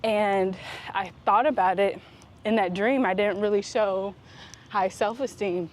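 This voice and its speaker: A woman talking in a casual, reflective vlog style, earnest and introspective, with deliberate pauses. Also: mid-range voice. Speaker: vlog host. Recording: clear steady background noise.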